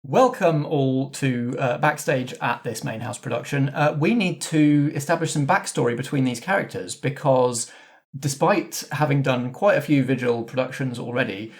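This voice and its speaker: A man hosting a roleplaying podcast as game master, addressing the cast in a relaxed, organised way. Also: mid-range voice, moderate pace. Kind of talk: casual backstage chat after scripted intro.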